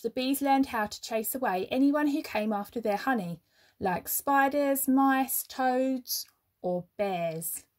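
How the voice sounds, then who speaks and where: A woman reading a children's story aloud like a teacher, with expressive, measured phrasing and emphatic pauses. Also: on the high side.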